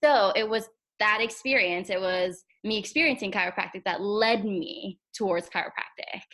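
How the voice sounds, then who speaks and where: A woman's voice: medium pitch, normal speed, bright, forceful tone. A young woman from Egypt, a chiropractor guest, talking candidly about her studies and laughing often. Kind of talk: casual interview conversation.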